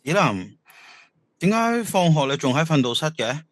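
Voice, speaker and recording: A man playing an intense, accusing high-school student in an audio drama, pressing with questions. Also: sing-song, moderate pace, no room sound. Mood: puzzled. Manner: pressing, interrogating. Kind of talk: Cantonese radio drama acting.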